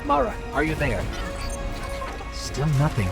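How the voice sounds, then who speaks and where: A slightly high, lively voice of a protective companion in a video game. He is earnest and conversational, frank when he questions and full of concern. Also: measured pace, gravelly, loud music behind the voice, heavily acted. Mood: worried. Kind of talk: video game dialogue acting.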